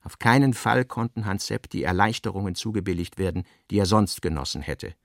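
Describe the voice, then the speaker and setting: A male narrator reads literary prose for a radio play. His voice sits on the low side with plenty of gravelly fry, and the sound is very dry, with no room around it. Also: brisk, no room sound.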